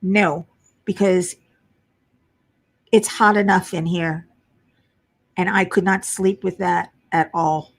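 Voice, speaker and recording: A middle-aged woman with a low voice, tired from lack of sleep, chatting casually to viewers as host of a crafting livestream. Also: steady tone, slow pace, creaky, long pauses. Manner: chatty, muttering while searching for pieces. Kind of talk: casual live chat while diamond painting.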